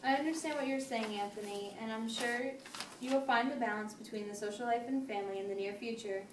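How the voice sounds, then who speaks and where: Lady acting as calm firm family therapist, amateur role-play, higher voice, lots of background noise, far off. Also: natural voice. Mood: firm, reasoning. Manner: measured, formal, steering. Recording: noisy echoey room, distant mic.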